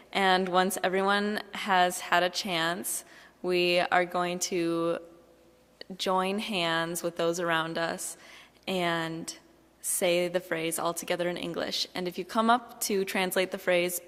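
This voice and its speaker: A woman leading an event, giving calm step-by-step instructions from a stage. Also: fairly low pitch, clear, resonant tone. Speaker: event emcee. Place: large hall on a stage. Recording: long hall reverb.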